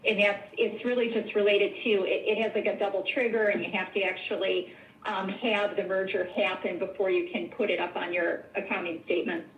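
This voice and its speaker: A woman explains business matters as a finance executive on a conference call. Her pitch is average and her delivery conversational. The line is thin and phone-like, with a little background noise. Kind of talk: explaining figures on an earnings call.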